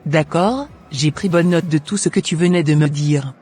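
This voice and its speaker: A man's voice: on the high side, moderate pace, darkish tone, husky, soft background music. A man voicing a self-assured, teasing young woman friend in a cartoon. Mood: cocky. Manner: cocky, teasing, confident.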